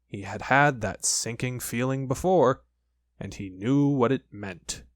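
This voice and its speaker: A man narrating a children's story from a script, in an expressive, measured storytelling way. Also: mid-range voice, no echo. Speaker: audiobook narrator.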